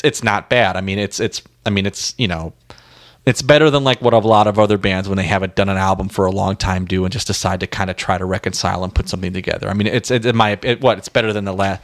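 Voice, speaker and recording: Low-voiced man, dry close sound, chatting music. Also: no room sound. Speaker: podcast co-host. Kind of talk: casual music discussion.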